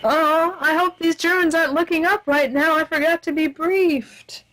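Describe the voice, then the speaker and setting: A woman's voice: extremely high pitch, fairly even intonation, normal speed, a bit bright, rough recording. A woman playing a wisecracking, melodramatic heroine in a comic radio play, theatrical and sardonic. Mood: dismayed.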